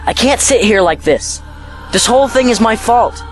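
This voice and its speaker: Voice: mid-range voice, expressive, normal speed, faint music behind, lightly acted. An actor playing a stubborn, guilt-ridden and defiant young boy in an audio drama, speaking impulsively. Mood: sullen, guilty.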